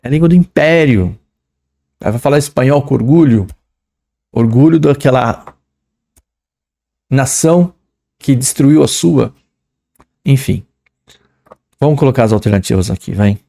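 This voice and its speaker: A man's voice: medium pitch, expressive, a little slow, gravelly, pauses often, dark tone. A young adult man with a São Paulo accent teaching an English exam lesson, chatty and slangy, reading English lines and translating them. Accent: São Paulo Portuguese. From São Paulo, Brazil.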